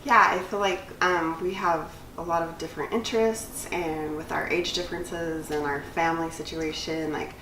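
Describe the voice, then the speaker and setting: A woman's voice: sits low, steady tone, normal speed, few pauses, fairly bright tone, roomy sound, recorded from a distance, slight background noise, low-quality recording. A woman in her late thirties co-hosting a casual vlog, rambling with many fillers. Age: 30s. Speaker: cosmetologist and instructor, channel co-host. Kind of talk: casual chat.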